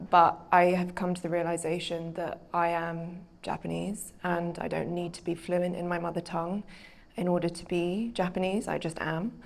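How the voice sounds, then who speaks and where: A woman's voice: on the low side, gravelly. Thoughtful British woman author, hesitant. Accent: British English. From Britain. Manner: thoughtful, hesitant, with frequent fillers. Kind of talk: reflective conversation on stage.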